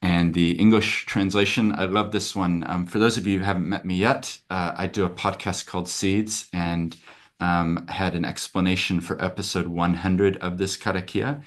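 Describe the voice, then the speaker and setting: Deep-voiced man hosting a webinar. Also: fairly even intonation, normal speed. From America. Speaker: lawyer and webinar host. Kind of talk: conversational webinar presentation.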